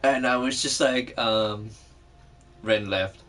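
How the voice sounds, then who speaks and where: A man's voice: mid-range voice, slow pace, a bit bright. A man chatting casually over a remote call about comics and games, loose and joking, laughing easily. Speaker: podcast co-host.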